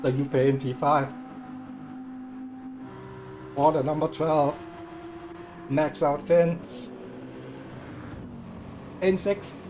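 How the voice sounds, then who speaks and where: A man commentating a live sim race, hoarse and cracking, upbeat and laughing a lot. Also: on the high side, drawn-out words, long pauses, noisy background. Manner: upbeat, laughs often, self-mocking.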